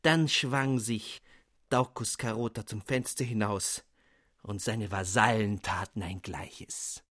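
A man narrating a classic fairy tale in a measured storytelling manner for radio. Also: on the low side, fairly even intonation, fairly bright tone. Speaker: audiobook narrator. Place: studio.

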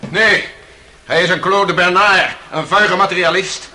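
A man's voice: high-pitched, steady tone, a little slow, bright, forceful tone, heavily acted. An actor playing an impulsive, embittered man on trial for murder in a radio courtroom drama. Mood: indignant outburst. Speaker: defendant. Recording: noisy old radio play recording.

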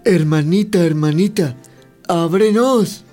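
An anxious little storybook pig, acted by a man, earnest and unhurried. Clear music plays behind the voice in this children's radio play. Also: high-pitched, darkish tone. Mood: urgent. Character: anxious little pig, brother in a fairy tale.

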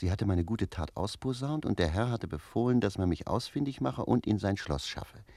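An actor playing a talkative, cheeky, stubborn manservant in a German radio play. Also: male voice, on the low side, expressive, moderate pace, warm tone, no echo. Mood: chatty. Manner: chatty, argumentative.

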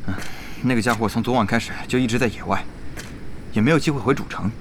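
An actor playing an eager, deferential gamer guild organizer in an audio drama, chatty and excitable. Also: male voice, mid-range voice, quick pace, a little hiss or hum, close to an everyday voice. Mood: businesslike.

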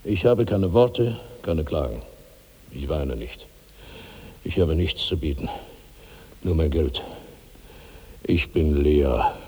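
An actor playing a gigantic, self-pitying rich glutton of 65 in theatrical, grandiose 1960s radio drama style, swinging between brooding and giddy. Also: male voice, rumbling low, expressive, takes it slowly, pauses often, warm tone, husky, heavily acted. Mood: brooding, wounded. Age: older. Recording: reverberant 1960s radio studio recording.

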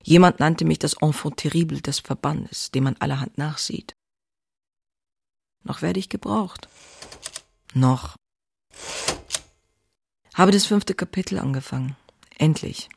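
A woman's voice: sits low, a little slow, creaky, long pauses, husky, exaggerated voice. An actress playing an outspoken, passionate woman writer who confides in her diary in an intimate, wry way. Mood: defiant, wry. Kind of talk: dramatized diary reading, scripted narration.

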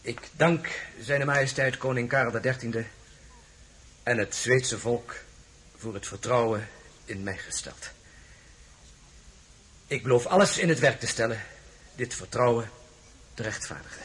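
An actor playing a commanding, impatient French prince and marshal in a radio play, urgent yet dignified and formal. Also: male voice, medium pitch, measured pace, pauses often, fairly bright tone, husky. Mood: formal, solemn.

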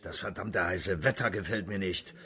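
Gruff, deep, gravelly old-China soldier. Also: male voice, steady tone, normal speed, bright, forceful tone. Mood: grumbling, hearty. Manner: blunt, grumbling. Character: gruff, grumbling Chinese imperial guard colonel, hard-drinking adjutant. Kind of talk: radio play acting.

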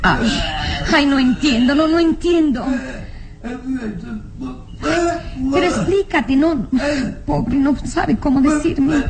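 A woman's voice: sits high, a little slow. An actress playing a young, romantic noblewoman in a melodramatic radio drama, nervous yet commanding, with theatrical delivery. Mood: nervous, puzzled.

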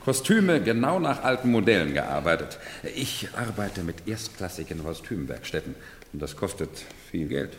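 Bossy eager retainer in an old radio drama, low voice, echoey hall with some hiss. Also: male voice, big pitch swings, normal speed. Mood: obliging. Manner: eager, obliging to guests, bossy to underlings. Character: officious male retainer staging a madman's imperial court. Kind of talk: 1970s German radio play acting.

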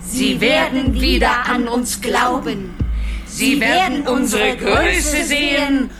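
A woman's voice: sits high, takes it slowly, over loud music. An actress playing a menacing, grandiose ancient witch-queen in a radio drama, theatrical, with sudden whispers and shrieks. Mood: menacing, triumphant.

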